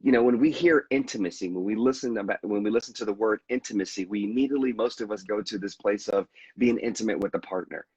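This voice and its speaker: A man with a husky, lowish voice tells his own story in an earnest, reflective way. He often stumbles and restarts a sentence. The sound is dry and close, with the dull, lo-fi quality of a video call. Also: quick pace, hardly any pauses, darkish tone, no room sound. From United States. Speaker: veteran guest. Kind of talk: candid conversational storytelling.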